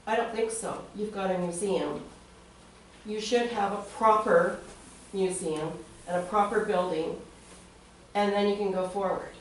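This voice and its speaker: A woman, a local resident, speaking earnestly at a public meeting, pausing often with ums. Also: mid-range voice, fairly even intonation, slight background noise. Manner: earnest, hesitant with frequent ums. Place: council chambers. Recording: echoey chamber, distant mic.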